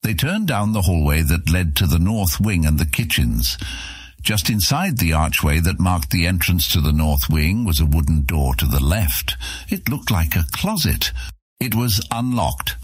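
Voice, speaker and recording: Deep, husky male audiobook narrator. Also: big pitch swings, a little slow, no echo. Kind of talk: scripted fantasy fiction narration.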